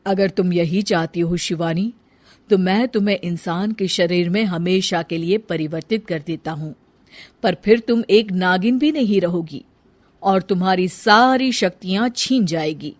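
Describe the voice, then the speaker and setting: A woman's voice: on the low side, measured pace, no echo. Woman voicing a solemn moon deity. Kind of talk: dramatized Hindi mythical storybook acting.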